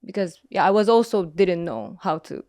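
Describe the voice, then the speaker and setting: A woman guest telling her story in a casual interview, hesitant and soft-spoken, with many pauses and restarts. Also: on the low side, expressive, no echo. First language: English.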